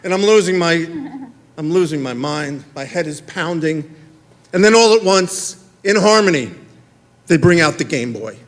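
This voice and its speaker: A middle-aged man, telling a stand-up story on a theater stage and acting out the dialogue in quick back-and-forth. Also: on the high side. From Queens, New York. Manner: animated, acts out dialogue in quick exchanges. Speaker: stand-up comedian.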